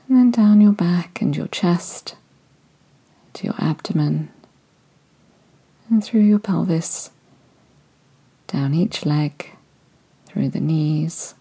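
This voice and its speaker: A woman leads a guided meditation in a soft, soothing manner, often sinking into a whisper. Her voice sits low, with a mellow, dark tone and a breathy edge. She takes her time, speaking very slowly. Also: rough recording. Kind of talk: guided body-scan meditation.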